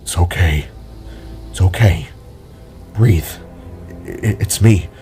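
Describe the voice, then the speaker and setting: A man playing a gentle, shaken older robotic alien brother in an audio drama, halting and soothing. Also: low voice, faint music behind.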